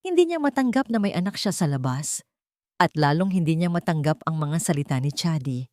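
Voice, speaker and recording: Female story narrator, lowish register, dramatic scripted delivery, dry studio-like sound. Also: expressive, fairly quick, darkish tone, no room sound.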